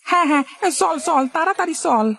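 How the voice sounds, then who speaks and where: A woman voicing an excitable, anxious but eager village farmer husband in a cartoon story, exclaiming and repeating words. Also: very high-pitched, brisk, gravelly, no echo, exaggerated voice. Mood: excited. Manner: exclamatory, lamenting and calling out in repeats.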